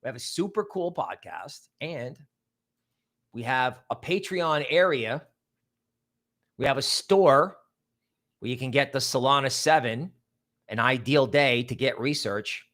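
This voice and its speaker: A man's voice: medium pitch, expressive, unhurried, pauses often, a bit bright. A man hosting a livestream in a casual, rambling, emphatic way, repeating words for stress. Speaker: livestream host. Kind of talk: casual livestream chat with viewer shout-outs and promotion.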